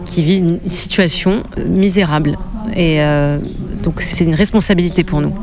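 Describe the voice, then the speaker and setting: A woman journalist answering interview questions in a measured, explanatory way, often pausing to hesitate. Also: on the low side, a crowd in the background. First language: Arabic-speaking background.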